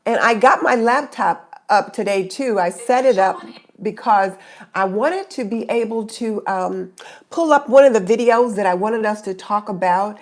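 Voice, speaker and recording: A middle-aged woman talks straight to her live-stream viewers from her webcam and greets them by name in a relaxed, rambling way. Her nose is stuffed up from a cold, and her voice sits in an ordinary middle pitch. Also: normal speed. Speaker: YouTube channel host. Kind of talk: casual live stream chat.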